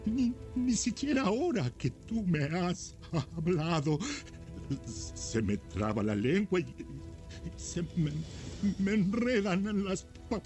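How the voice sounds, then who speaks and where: A man playing a timid, pleading figure with halting, stammering speech in a dramatized Bible audio. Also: high-pitched, very animated, a little mellow, faint music behind, full character voice. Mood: anxious, ashamed. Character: Moses, timid, pleading man unsure of himself.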